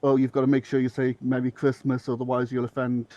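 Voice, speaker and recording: A man's voice: mid-range voice, monotone, fast talker, mellow, muffled recording. A man from Northeast England with a matching accent, talking bluntly in a loose, rambling way and swearing freely as a podcast guest. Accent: Northeast English. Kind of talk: casual podcast chat.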